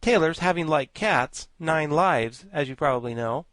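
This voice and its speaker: A man voicing a pompous, learned male insect gentleman with grand, formal speech. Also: a little high, animated, normal speed, no room sound, pitched above the performer's own voice. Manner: grandiloquent, formal, self-satisfied. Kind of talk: audiobook character voice.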